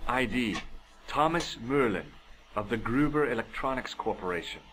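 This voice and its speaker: An actor playing a curious, dryly sarcastic young amateur sleuth in an adventure game. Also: male voice, medium pitch, expressive, normal speed, close to an everyday voice. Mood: matter-of-fact. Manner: dry, sarcastic. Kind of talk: adventure game voice acting, part narration. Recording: noisy game audio capture.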